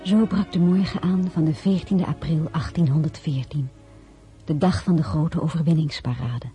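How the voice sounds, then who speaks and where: An actress playing a wistful, reflective Frenchwoman who tells her life story intimately in a radio play, in a breathy, hushed voice. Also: low-pitched, steady tone, normal speed, faint music behind, lightly acted. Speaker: lead actress and first-person narrator. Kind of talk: dramatic radio play narration and dialogue.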